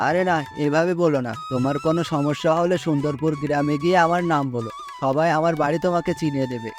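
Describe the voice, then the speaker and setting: A kind, weary poor farmer husband in a cartoon, with a slightly raised, plain voice, as a man acts him out. He talks patiently, grumbling now and then, over lively background music. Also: a little high, fast, natural voice.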